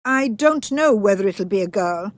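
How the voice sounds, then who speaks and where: A woman's voice: mid-range voice, expressive, normal speed, dry recording, close to an everyday voice. A woman voicing a timid young widowed mother in a storybook, hesitant, polite and deferential. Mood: meek, polite.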